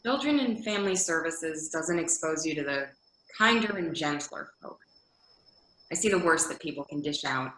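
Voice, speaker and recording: A woman's voice: low voice, expressive, moderate pace, fairly bright tone, slight background noise. A woman playing a middle-aged, world-weary caseworker who is guarded but caring, in a readers theater scene. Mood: weary, bitter. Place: indoor room on a video call. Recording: echoey room on video call.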